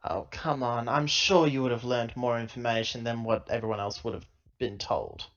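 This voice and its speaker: A man's voice: medium pitch, steady tone, moderate pace, fairly bright tone, close to an everyday voice. Man playing a blunt, impatient company woman, muffled sound. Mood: insistent. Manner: blunt, demanding, no-nonsense.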